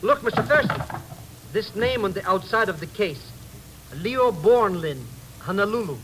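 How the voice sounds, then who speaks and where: A bewildered, naive sidekick in a vintage radio play. His voice is high and swoops about plaintively, over faint recording noise. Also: lively intonation, moderate pace. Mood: excited, puzzled. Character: excitable, puzzled, naive male sidekick. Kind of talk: 1940s-50s American radio drama acting.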